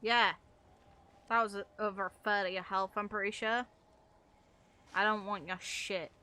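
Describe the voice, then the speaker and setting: Bright-voiced sweary woman game streamer. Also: mid-range voice, fairly even intonation, moderate pace. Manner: sweary, blunt. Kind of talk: casual live-stream chat while gaming. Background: video game music.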